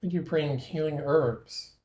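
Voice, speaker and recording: Dark, mellow-voiced man guiding a meditation. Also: on the high side. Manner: gentle, measured, with long pauses. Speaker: meditation guide. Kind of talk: guided imagery meditation.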